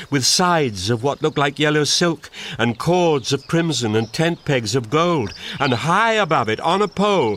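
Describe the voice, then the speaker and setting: A man narrating a children's story in a measured, descriptive, expressive way. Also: fairly high pitch, slight background noise. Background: music.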